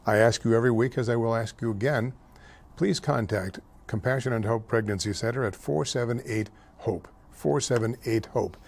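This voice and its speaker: An older man hosting a local talk show, speaking haltingly with many fillers and slips over names, louder and more heated on politics. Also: medium pitch, gravelly. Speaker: host.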